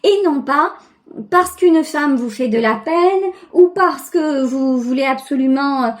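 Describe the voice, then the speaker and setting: A woman giving an intuitive tarot reading, speaking directly to the viewer in a halting, reflective, conversational way. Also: high voice. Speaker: tarot reader, channel host.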